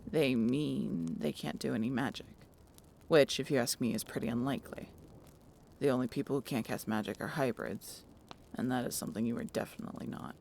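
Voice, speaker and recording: A woman playing a blunt, distrustful harpy in an audio roleplay, with a low gravelly voice and a curt, sarcastic manner. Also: a little fast, a little mellow, a little hiss or hum. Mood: accusing, skeptical.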